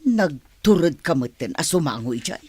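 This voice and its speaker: A woman's voice: deep voice, lively intonation, normal speed, a little mellow, husky. A woman acting as a snooty, easily offended senior household woman in a radio drama. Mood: scornful, indignant.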